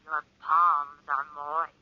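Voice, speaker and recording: An actor in a 1940s radio drama voicing a sinister, taunting inner voice that eggs on a killer in short, insinuating phrases. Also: male voice, a little high, little pitch movement, slow pace. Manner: insinuating, echoing words in short repeated phrases. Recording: dull old 1940s radio recording.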